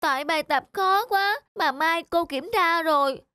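A woman voicing an anxious, eager young schoolboy in an animated cartoon, with an earnest, childlike delivery. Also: extremely high pitch, unhurried, no echo, full character voice.

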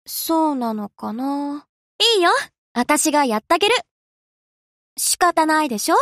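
A young anime warship girl with a high, very lively voice. She talks in casual, girlish slang at an unhurried pace. She comes across as easygoing yet dutiful, like a game heroine. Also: dry recording, studio quality, heavily acted. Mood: breezy, casual. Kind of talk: Japanese anime game character voice lines.

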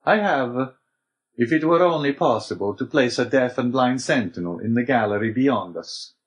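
Elderly learned abbé, storybook prisoner played by a man: raised pitch, principled, gentle, exclaims with formal earnestness. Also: expressive, moderate pace, lightly acted. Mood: anguished.

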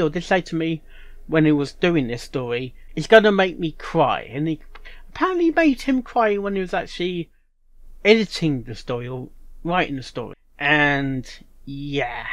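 A man giving casual live reaction commentary, excitable and apologetic, often interjecting. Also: a little high, unhurried, no room sound. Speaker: reaction video host.

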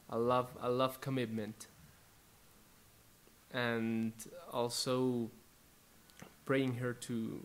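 Young colombian guy, spanish first language, halting soft talk between songs at home, slow, into a studio mic. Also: mid-range voice. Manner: soft-spoken, halting, with many restarts. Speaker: worship musician. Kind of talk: introducing songs in a livestreamed worship set. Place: living room at home, indoors. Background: faint acoustic guitar.

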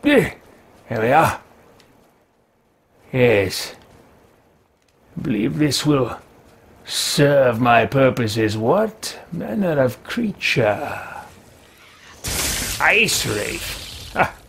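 A man's voice: medium pitch, expressive, extremely slow, gravelly, pauses often, fairly bright tone, breathy edge, exaggerated voice. A man in his thirties voicing an arrogant, bitter, power-hungry wizard in a video game roleplay, speaking in a pompous, theatrical, archaic manner. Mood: haughty, musing. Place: small home room, indoors.

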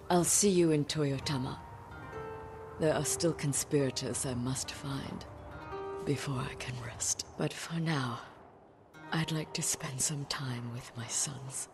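An actress playing an older samurai noblewoman, grieving and vengeful, who speaks in a grave, measured way. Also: very deep voice, over music. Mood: quiet grief. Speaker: voice actor. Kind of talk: dramatic game acting.

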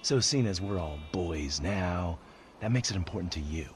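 An actor playing an arrogant, bullying American federal agent in a video game, smug and aggressive. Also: male voice, sits low, animated, normal speed, a little hiss or hum. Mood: domineering. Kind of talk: video game cutscene acting.

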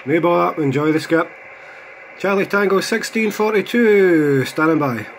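A man's voice: sits high, moderate pace. A man with a Scottish accent making CB radio calls with callsigns. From Scotland. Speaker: CB radio operator. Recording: noisy CB radio hobby recording, loud static.

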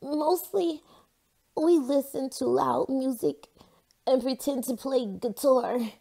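A woman voicing an eager, excitable young boy in a children's storybook. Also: high voice, takes it slowly, vocal fry, voice pushed up, exaggerated voice.

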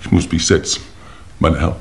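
An actor playing a pompous, shaken English lord in a 1950s German radio crime play, theatrical, with hesitant pauses. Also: male voice, deep voice, fairly even intonation, creaky. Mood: shocked, grave. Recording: old noisy 1950s radio recording.

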